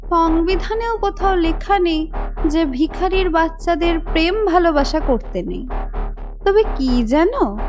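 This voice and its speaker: Casting: actress as spoiled, sneering rich young woman, high voice, unhurried condescending delivery, audio drama. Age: young adult. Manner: taunting, condescending, drawling. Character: mocking, haughty rich young college woman. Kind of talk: audio story acting.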